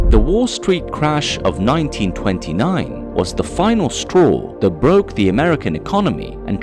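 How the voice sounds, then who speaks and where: Dramatic male narrator with very animated pitch. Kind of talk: scripted documentary narration.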